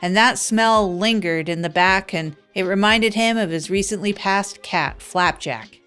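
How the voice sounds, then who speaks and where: Woman, mid-pitch, deadpan small-town radio host, rambling and self-deprecating, light music under her. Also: a little slow, clear, resonant tone. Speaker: radio show host character. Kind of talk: improvised comedy radio monologue.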